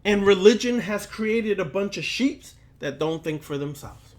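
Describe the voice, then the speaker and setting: A man lecturing like a preacher, speaking deliberately and emphatically, with repetition and rhetorical questions. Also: high-pitched, lively intonation. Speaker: occult lecturer.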